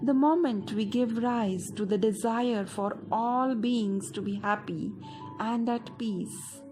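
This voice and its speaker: A woman with an Indian accent guides a meditation in a fairly high voice. She reads very slowly and deliberately, leaving space between phrases. Soft music plays behind her. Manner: measured, deliberate phrasing with pauses. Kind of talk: guided meditation narration, scripted.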